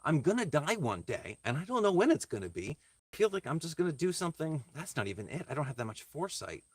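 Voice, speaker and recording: A man chatting casually to livestream viewers as he works on a craft, thinking aloud in a halting, reflective way and answering their comments. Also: a little high, very animated, husky, no echo.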